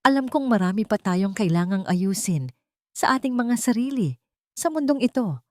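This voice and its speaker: A woman's voice: fairly high pitch, animated, a little mellow, dry recording, voice pushed up, lightly acted. Woman reading as solemn, earnest businessman, dry sound. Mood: serious, tender. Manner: solemn, deliberate, heartfelt. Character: earnest, solemn male company chief in love.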